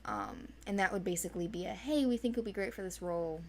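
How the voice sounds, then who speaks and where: Female organizer, casual and rambling, medium pitch, faint noise on the recording. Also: big pitch swings, fast, gravelly. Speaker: casting organizer. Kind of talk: informal unscripted explanation and chat.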